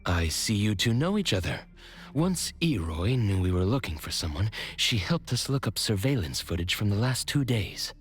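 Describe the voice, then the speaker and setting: A man's voice: fairly low pitch, big pitch swings, normal speed, soft background music. An actor playing a practical, easygoing male investigator in a video game.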